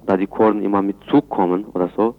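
A man answering in a radio interview, speaking haltingly in phrasing. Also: lowish voice, steady tone. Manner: halting, non-native phrasing. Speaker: interviewee, chairman of a Kurdish community centre. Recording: dull, lo-fi radio recording.

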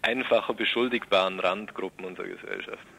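A man being interviewed on radio, explaining hesitantly with many filler pauses. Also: deep voice, fairly even intonation, vocal fry, sharp tone, a little hiss or hum, rough recording.

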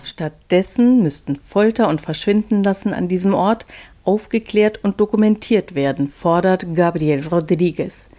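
A woman's voice: fairly low pitch, normal speed, warm tone. A woman reading scripted documentary narration as a reporter.

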